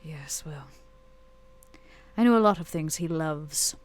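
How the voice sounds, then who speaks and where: A woman roleplaying a proud, guarded, bitter vampire woman in a tabletop game, speaking curtly and deliberately with intense pauses. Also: low voice. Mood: determined, grim.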